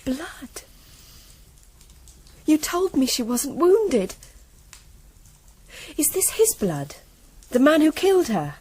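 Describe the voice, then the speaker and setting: A headstrong young noblewoman, expressive. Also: high voice, takes it slowly, pauses often, full character voice. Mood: grieving, vengeful. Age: young adult. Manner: intense, defiant, formal diction. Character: headstrong, proud young noblewoman of medieval England. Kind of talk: radio drama acting.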